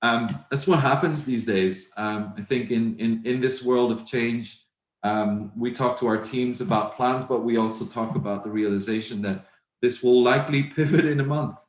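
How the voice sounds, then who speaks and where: A middle-aged man from Belgium, a native Dutch speaker with a Flemish accent, presenting at a virtual conference in a measured, reflective way with many ums. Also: mid-range voice. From Belgium (Flanders). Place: home office, indoors. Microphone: video call. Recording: roomy video-call audio.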